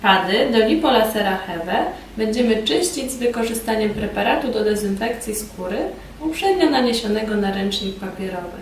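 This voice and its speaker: A woman giving formal, measured, scripted instructional narration as a presenter in a training film. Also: medium pitch, animated. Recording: roomy echo, distant mic, background noise.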